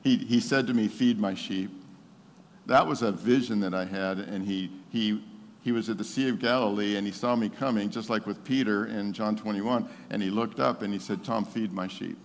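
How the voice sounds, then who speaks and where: A seventy-year-old man preaching with personal testimony, emphatic and animated, repeating phrases for effect. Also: fairly low pitch, moderate pace. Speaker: pastor preaching to his congregation. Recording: long hall reverb.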